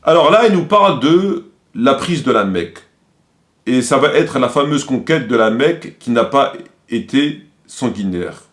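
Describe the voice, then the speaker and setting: A man's voice: medium pitch, expressive, a little slow. A man delivering critical commentary, quoting sources didactically and repeating his points for emphasis.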